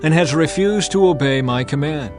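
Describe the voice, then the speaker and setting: A man's voice: fairly high pitch, normal speed, music in the background, voice pushed up, lightly acted. A man voicing a divine figure who speaks in a grave, sorrowful way.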